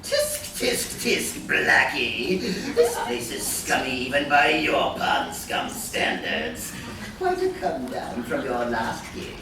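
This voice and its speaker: A man's voice: medium pitch, big pitch swings, takes it slowly. A man playing a manic, gloating supervillain who taunts in a cackling sing-song, in a live radio play. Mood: gleeful mocking. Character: manic, gloating male supervillain, mocking and bossy. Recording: echoey hall from far off, noisy.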